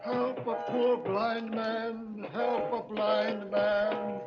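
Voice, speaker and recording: An actor playing a meek old blind beggar in a radio drama, pleading and apologetic in drawn-out phrases. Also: male voice, sits high, flat delivery. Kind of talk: 1940s radio acting. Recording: muffled old radio drama, loud music.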